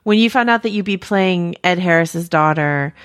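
Eager woman podcast host, lowish voice. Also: moderate pace, smooth. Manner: eager, wordy questions with fillers. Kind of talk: interview questions.

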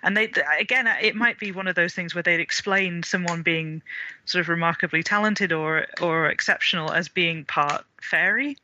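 A man's voice: sits high, moderate pace, bright, forceful tone, dull audio, a little hiss or hum. A man as a podcast guest, explaining folklore with many ums and restarts. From Wales.

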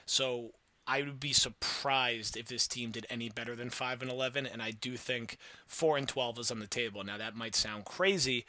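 Bright, forceful American sports podcast host, male. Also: mid-range voice, no echo. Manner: opinionated, analytical.

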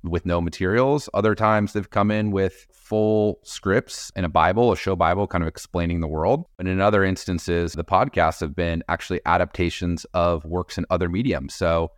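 A man's voice: deep voice, fairly even intonation, moderate pace, hardly any pauses, no room sound, clean studio sound. A man answering interview questions about his company, thoughtful, with fillers and restarts. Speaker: podcast network executive.

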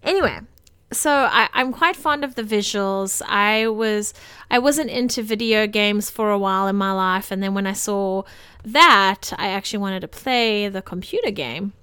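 A woman's voice: medium pitch, normal speed, bright, forceful tone, clean tone, dry recording. A woman co-hosting a film podcast, talking casually and informally with conversational asides. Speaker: podcast co-host.

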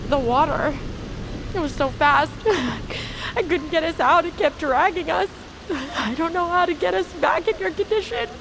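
A woman's voice: very high-pitched, normal speed, noisy background. A woman playing an anxious, emotional young survivor with an earnest, breathless manner in an audio drama. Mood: shaken, tearful. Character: anxious, tearful young woman survivor, impulsive but caring. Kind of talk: naturalistic audio drama acting. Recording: music under the voice.

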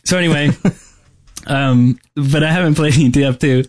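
A man co-hosting a podcast in casual chat, rambling and halting as he talks. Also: fairly high pitch. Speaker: podcast co-host. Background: music.

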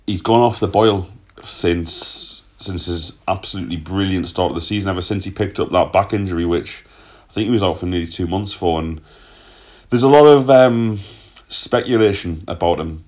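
A man's voice: low-pitched, darkish tone. A man hosting a casual football discussion in a blunt, sweary, joking way. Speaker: podcast host.

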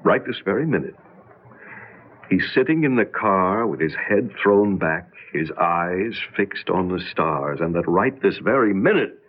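A man's voice: deep voice, expressive, measured pace, husky, heavily acted. An anxious, paranoid middle-aged husband, his voice swinging up and down as he pleads and insists. He talks with urgent force. The sound is dull and lo-fi, like an old radio drama. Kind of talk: 1970s radio drama acting.

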